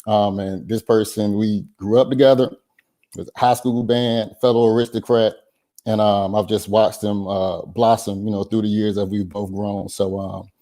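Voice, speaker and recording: Male event host, soft mellow low voice, informal, halting introduction delivery. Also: flat delivery. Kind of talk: casual welcome introduction.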